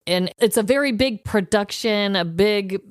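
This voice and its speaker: A woman with an American accent co-hosting a podcast, chatting casually in an expressive, exclamatory way. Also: medium pitch, measured pace, no room sound, clean studio sound. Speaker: podcast co-host. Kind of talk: casual banter and trivia chat.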